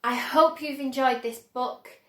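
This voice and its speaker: A woman storyteller with a high voice reads to children. Her narration is expressive and slow-paced, with the echo of a room around it. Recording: roomy, echoing indoor sound.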